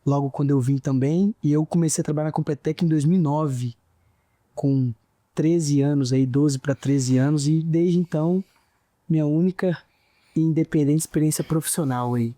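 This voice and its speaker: Man with a near-carioca Minas accent, mellow voice, dry close mic, earnest. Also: a little high, steady tone, normal speed, dry recording. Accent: Santos Dumont, Minas Gerais (near-carioca). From Minas Gerais, Brazil. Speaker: guest, engineer. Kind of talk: interview answers, storytelling.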